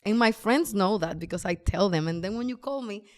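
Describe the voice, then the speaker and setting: A woman's voice: mid-range voice, lively intonation, fairly quick. A woman from the Dominican Republic with a Dominican Spanish accent, a shy and earnest podcast guest. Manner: shy, earnest, a bit nervous. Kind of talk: casual interview chat.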